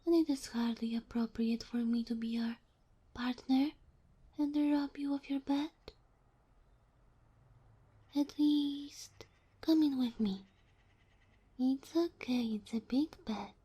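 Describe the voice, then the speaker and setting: High, breathy, sweet fox-girl played by a woman. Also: slow pace, long pauses, full character voice. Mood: gentle, devoted. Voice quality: soft, breathy, whispery. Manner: formal, courteous, slightly bashful. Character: sweet, polite kitsune fox-girl familiar, female, ageless. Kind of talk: ASMR roleplay.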